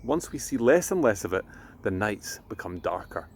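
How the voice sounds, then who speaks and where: A man voicing a gentle, knowledgeable mother who explains things patiently in a storybook. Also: lowish voice, very animated, moderate pace, breathy edge, heavily acted.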